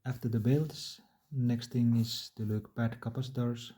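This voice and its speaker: A man's voice: mid-range voice, steady tone, measured pace, dark tone. A man narrating a do-it-yourself repair tutorial in a plain, matter-of-fact, step-by-step way. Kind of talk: instructional DIY narration.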